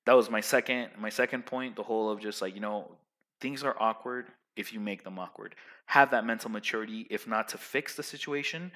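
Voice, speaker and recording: Man, young adult, loud, emphatic, rambling, casual advice, bright tone. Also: fairly low pitch. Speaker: vlogger giving social advice.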